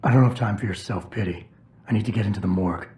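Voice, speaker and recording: Low-voiced, deadpan augmented operative played by a man. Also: quick pace, lightly acted. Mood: firm, controlled. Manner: terse, deadpan, sardonic. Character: stoic, gruff cybernetically augmented male security operative, sardonic. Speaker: video game character. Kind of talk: cinematic game dialogue.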